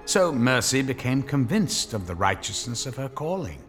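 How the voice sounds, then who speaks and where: Male narrator, mid pitch, very varied intonation, wry, theatrical, music underneath. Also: very animated, normal speed. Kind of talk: dramatic scripted story narration.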